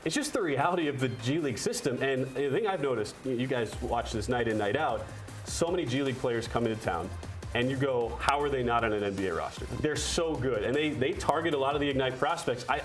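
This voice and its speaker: Fast-talking young male sports announcer. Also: mid-range voice. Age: young adult. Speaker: radio play-by-play sports announcer. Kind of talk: studio sports interview, conversational. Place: TV studio.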